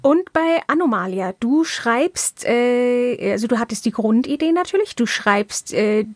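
A woman's voice: fairly high pitch, expressive, a little slow, darkish tone, no room sound, clean studio sound. A woman hosting a casual interview, attentively summing up what she has heard and checking that she understood. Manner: attentive, summarizing and checking back. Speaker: interviewer, podcast host.

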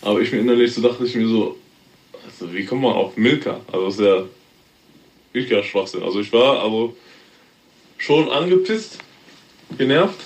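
A man's voice: mid-range voice, moderate pace, long pauses. A man in his thirties from Germany, chatting casually on a podcast with a loose, rambling manner. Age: 30s. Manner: relaxed, rambling, with fillers and laughs. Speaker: podcast guest.

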